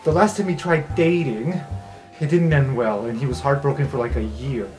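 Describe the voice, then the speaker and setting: A man's voice: medium pitch, normal speed, darkish tone, soft background music, close to an everyday voice. A man playing a guarded, self-deprecating vampire student who speaks bluntly and hesitantly in improvised tabletop roleplay. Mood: dismayed.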